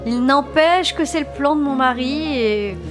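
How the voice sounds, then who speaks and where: A woman playing a feisty, blunt but loyal wife in a comic audio drama, with a brisk manner. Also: sits high, music in the background.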